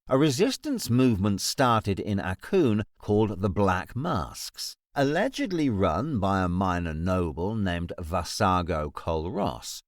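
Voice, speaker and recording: Cold all-knowing ruler for fantasy audiobook , male actor: cutting, deliberate, formal, widely varied intonation, close dry sound. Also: fairly low pitch, dry recording. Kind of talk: full-cast fantasy audiobook acting.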